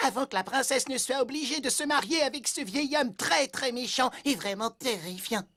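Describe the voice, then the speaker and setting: A woman's voice: sits high, normal speed, fairly bright tone. A woman voicing a small, brash, boastful furry creature in a fairy-tale cartoon, cheeky and exclamatory. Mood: cheeky, urgent. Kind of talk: animated fairy-tale dub acting.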